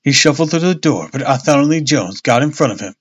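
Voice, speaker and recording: A man's voice: mid-range voice, expressive, quick pace, breathy edge. A man casually narrating a novel on a livestream, stumbling, rereading and dropping jokey asides. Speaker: streamer reading a novel aloud. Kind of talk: casual live storybook narration.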